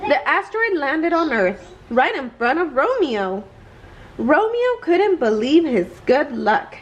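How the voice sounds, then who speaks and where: A woman's voice: high voice, sing-song, slow, lo-fi sound. A woman reading a children's bedtime story aloud, expressively and carefully. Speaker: storybook reader.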